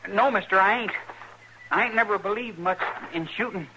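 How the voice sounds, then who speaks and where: A high male voice with a rural American accent , an actor playing a stubborn young country man. He sounds earnest and folksy in a radio western. The old recording is noisy. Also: a little fast, close to an everyday voice. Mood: nervous.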